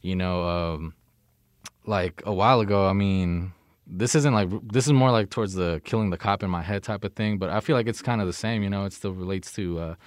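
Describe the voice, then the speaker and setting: A man's voice: low-pitched, fairly quick, clear, resonant tone, no room sound, clean studio sound. A young American man in casual conversation, talking in an informal, slangy way and often checking in with the listener. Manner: informal, slangy, frequent "you know what I mean".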